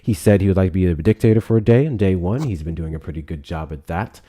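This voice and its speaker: A man's voice: deep voice, fast, mellow. A man hosting a heated live debate stream, combative, sarcastic and profane as he presses his guest.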